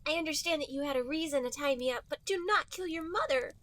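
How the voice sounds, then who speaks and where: A squeaky cartoon schoolgirl, extremely high and sharp. She is earnest and exaggerated, like dialogue from a silly fan-fiction reading, recorded close and dry. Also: fast talker, no room sound. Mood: sweet, earnest.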